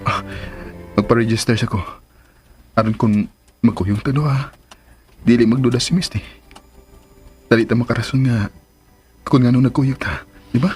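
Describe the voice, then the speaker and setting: A man's voice: medium pitch, moderate pace, pauses often, darkish tone, close to an everyday voice. An actor playing an ardent, tender male lover with a Filipino accent in a sentimental radio drama, speaking earnestly over music. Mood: casual, coaxing. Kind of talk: Philippine radio drama acting, sentimental romance.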